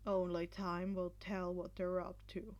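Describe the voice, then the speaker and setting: A woman voicing a dry, unimpressed male commentator with a flat, skeptical delivery in an anime fan story. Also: lowish voice, a little slow, highs cut off, pitched below the performer's own voice. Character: deadpan, skeptical man commentating on a sports event.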